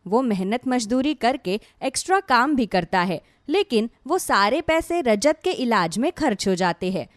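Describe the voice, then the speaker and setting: A woman storyteller with a high, very lively voice, reading a scripted dramatic tale. The sound is dry and close, with pitch that moves freely through each sentence. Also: normal speed, no echo. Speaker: storyteller narrator.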